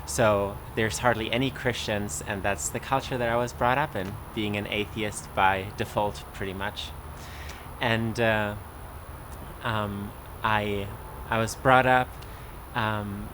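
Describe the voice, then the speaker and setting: A man's voice: medium pitch, a bit bright, a little hiss or hum. A young man telling his personal testimony in a casual way, with many fillers and restarts. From Germany.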